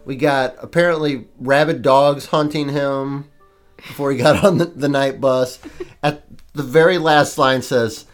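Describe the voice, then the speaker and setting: A man's voice: medium pitch, steady tone, unhurried. A man chatting loosely on his podcast, his voice a little congested from allergies. He rambles and jokes with plenty of fillers, and faint music sits behind him. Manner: rambling, joking, many fillers. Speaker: podcast co-host. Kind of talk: casual chat.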